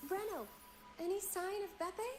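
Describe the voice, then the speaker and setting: Casting: young game acolyte, high voice, courteous and earnest, music loud behind her. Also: fairly even intonation, unhurried. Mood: searching, puzzled. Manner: polite, earnest, slightly flustered. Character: Phoebe, gentle polite earnest young church acolyte woman. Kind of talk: video game voice acting.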